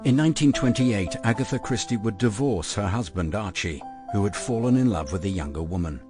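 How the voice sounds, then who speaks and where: A low man's voice over clear music. He narrates a radio drama from a script, reading background and credits. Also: lively intonation, brisk. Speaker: narrator of prologue and epilogue.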